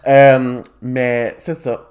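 A man's voice: mid-range voice, little pitch movement, extremely slow, a little mellow. A young man in his twenties with a Québécois accent, hosting a casual podcast and telling self-mocking stories with lots of laughter. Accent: Québécois French. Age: 20s. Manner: joking, self-mocking storyteller with frequent laughs. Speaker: podcast host. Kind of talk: casual chat.

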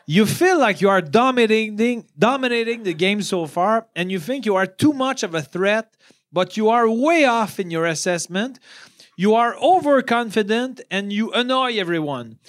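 A man's voice: sits high, expressive, moderate pace. A man, co-hosting a comedy podcast with hesitant, giggly, casual talk.

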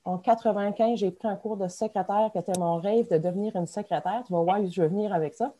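A woman's voice: mid-range voice, quick pace, warm tone. Chatty Acadian woman in her forties. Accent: Acadian French. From Acadian Peninsula, New Brunswick. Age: 40s. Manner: chatty, rambling, laughs at herself. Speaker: podcast guest. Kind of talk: casual interview chat.

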